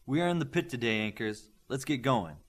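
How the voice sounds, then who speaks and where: A man's voice: medium pitch, animated, brisk. Stern male coach, played by a male narrator, raised voice, clipped orders, audiobook adventure. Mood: commanding. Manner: loud, clipped, commanding. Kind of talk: audiobook character voice.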